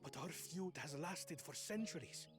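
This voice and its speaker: An actor playing a proud male warrior of a long-feuding tribe, speaking in a measured, grave way. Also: a little high, lively intonation, over music. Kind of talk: game cutscene dialogue. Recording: game score playing behind.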